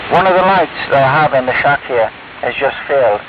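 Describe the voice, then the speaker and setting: A man's voice: mid-range voice, animated, brisk, muffled recording. An older man, chatting casually and at length on an amateur radio net, rambling and self-deprecating. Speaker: ham radio operator. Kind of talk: casual on-air radio chat. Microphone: radio transceiver mic heard over the air. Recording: noisy, band-limited shortwave radio hiss.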